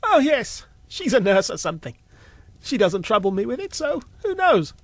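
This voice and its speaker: A man's voice: extremely high pitch, very animated, normal speed, dry recording, pitched above the performer's own voice, exaggerated voice. A man voicing an eccentric, mischievous old man in an audio story, theatrical and full of teasing exclamations. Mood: gleeful, excitable. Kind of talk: audio story character acting.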